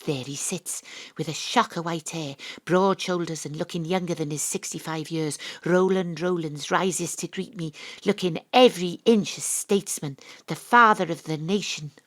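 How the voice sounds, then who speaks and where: A woman's voice: on the low side, normal speed, hardly any pauses, close to an everyday voice. A woman with a Welsh accent playing a brash, independent investigator, narrating an audio drama in a dry, blunt manner. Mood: wry. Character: wry, brash, independent-minded female investigator narrating her case.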